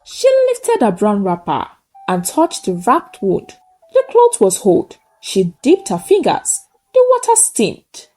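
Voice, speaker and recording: A woman narrating a scripted folktale in short, measured, dramatic phrases. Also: medium pitch, huge pitch swings, warm tone, low-quality recording. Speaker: storyteller.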